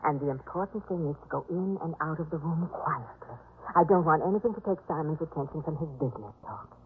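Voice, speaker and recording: A faintly noisy, narrow-band old radio recording carries a soft-hearted mistress of the house. Her delivery is gentle and placating, with very lively rises and falls. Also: sits low, fast. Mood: encouraging. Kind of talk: 1950s American radio drama acting.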